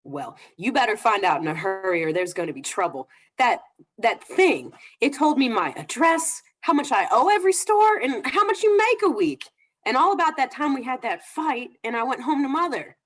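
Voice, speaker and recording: Indignant nagging wife acted by a woman, high and animated, emphatic, old-time radio comedy. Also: normal speed. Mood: exasperated. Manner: scolding, emphatic. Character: nagging, indignant wife at the end of her patience.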